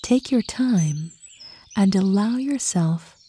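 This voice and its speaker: A woman narrating a guided meditation in a calm, soothing, unhurried way, with a soft voice that turns breathy at phrase ends. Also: medium pitch, slight background noise. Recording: birdsong and nature ambience behind. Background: birdsong, outdoor nature sounds.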